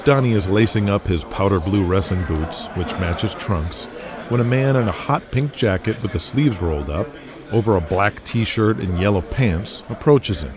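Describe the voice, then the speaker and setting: A man's voice: fairly low pitch, moderate pace, crowd noise. A man narrating an audio drama in scripted, present-tense storytelling style. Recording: music playing behind the voice. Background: music.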